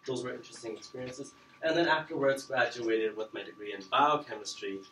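A man's voice: lowish voice, distant mic, a little hiss or hum. Young man speaking in roomy classroom. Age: young adult. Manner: relaxed, chatty, many fillers. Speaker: guest speaker. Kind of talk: casual talk to students. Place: classroom with projector screen. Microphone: far camera.

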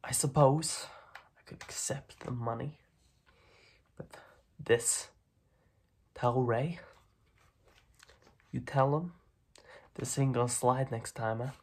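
Mob boss for ASMR roleplay, played by a young man: husky, unhurried, streetwise, dismissive, soft-spoken tough talk. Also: mid-range voice. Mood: grudging warning. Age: young adult. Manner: slow, clipped tough-guy talk with repeated phrases. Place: small dim room at home, indoors.

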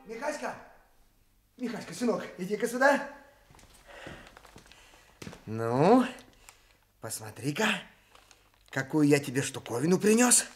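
Casting: coaxing dad, radio drama, high-pitched, very animated. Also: male voice, measured pace, long pauses, soft background music. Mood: cheerful, coaxing. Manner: animated, cajoling. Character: eager, coaxing father of a schoolboy. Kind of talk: Soviet radio drama acting.

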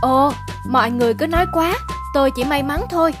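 A woman voicing a modest, principled young male boxer in a cartoon, calm and even-tempered. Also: high-pitched, a little fast, music in the background, natural voice. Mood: calm, humble. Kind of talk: cartoon dubbing.

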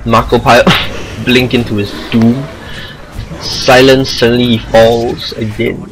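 A man with a Singaporean accent giving casual amateur commentary over a video game match, excitable and rambling. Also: medium pitch, takes it slowly, noisy background. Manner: excitable, rambling asides, self-deprecating.

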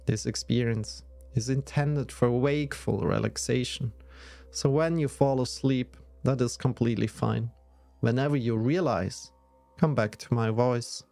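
A man leading a guided yoga nidra meditation in a soothing, measured way with long pauses. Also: mid-range voice, fairly even intonation, vocal fry, a little mellow, no echo, soft background music.

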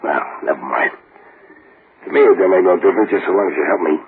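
A man's voice: low voice, expressive, fast talker. A man playing a cocky, scheming condemned gangster with sardonic tough-guy slang and broken grammar, in old-time radio style. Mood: sly, knowing. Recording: muffled, hissy old radio recording.

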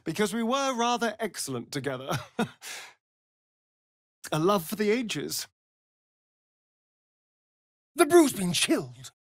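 A man's voice: high-pitched, sing-song, slow pace, pauses often, dry recording, clean studio sound, full character voice. A man with an English accent playing a vain, sardonic aristocratic vampire rogue in a video game, theatrical and arch. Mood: dramatic, teasing.

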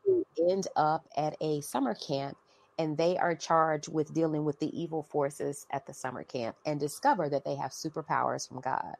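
A calm woman with a very low voice answers interview questions in an unhurried way. The recording is dry and close to the mic. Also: gravelly, no room sound, rough recording. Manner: calm, measured, soft-spoken. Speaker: guest. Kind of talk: casual interview talk.

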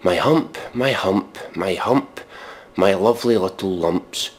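Deep-voiced, deadpan sardonic man. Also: takes it slowly. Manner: deadpan, exasperated, sweary. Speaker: comedic commentator. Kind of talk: mock dramatic reading with sardonic commentary.

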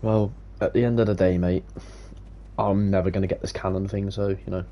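A man's voice: sits low, little pitch movement, fairly quick, dark tone, a little hiss or hum. A young man joking around with friends while gaming. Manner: joking, laughs often. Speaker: gamer. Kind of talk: casual chat over voice chat.

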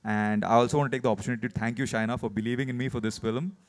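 A man's voice: mid-range voice, steady tone, very fast. A young man from South India, an actor answering questions at a film launch, articulate with some hesitant fillers, speaking into a handheld mic. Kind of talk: press conference answers. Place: indoor launch event stage. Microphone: handheld microphone.

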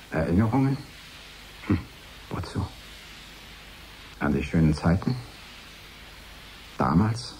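A man's voice: sits low, a little mellow, low-quality recording. An actor playing a brooding, sardonic man muttering bitterly to himself in a radio play. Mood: brooding, weary. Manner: slow musing, muttering in short broken phrases. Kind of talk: 1980s German radio play monologue. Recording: hissy archive radio recording.

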